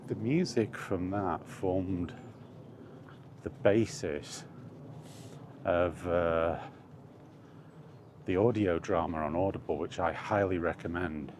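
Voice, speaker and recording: Deep-voiced man rambling at an unhurried pace. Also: darkish tone, a little hiss or hum. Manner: hesitant, meandering, self-correcting. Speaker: vlogger. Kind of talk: casual rambling walk-and-talk chat. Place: outdoors, walking.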